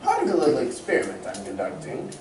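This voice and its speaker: A woman's voice: a bass voice, wildly swooping pitch, exaggerated voice. A woman playing a composed, soothing great scientist in an old-time radio serial. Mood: calm reassuring. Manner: soothing, unhurried, reassuring. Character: Doctor Huer, composed great scientist of the future. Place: community theatre stage. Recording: roomy stage, distant mic, faint noise.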